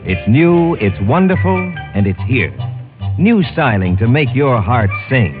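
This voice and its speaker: A man's voice: medium pitch, very animated, a little slow, narrow, tinny audio. A male commercial announcer with a punchy, emphatic 1950s radio sales delivery. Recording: thin vintage radio recording. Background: music.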